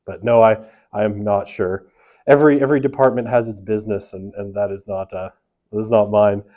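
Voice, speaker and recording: A man's voice: lowish voice, steady tone, fairly quick, muffled recording. A man teaching a university sociology lecture in a classroom through a room microphone, casual and chatty, with a big voice, lots of fillers and tag questions. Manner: self-described big, loud voice. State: half asleep from early rising. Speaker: sociology instructor.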